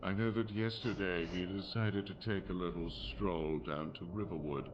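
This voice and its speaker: Man voicing a deep, smug elven villain. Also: steady tone, lo-fi sound, noisy background, lightly acted. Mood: coldly threatening. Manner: taunting, deliberate, theatrical. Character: menacing elven Thalmor commander, smug, cold villain. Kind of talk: fantasy game villain monologue. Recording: loud music under the voice.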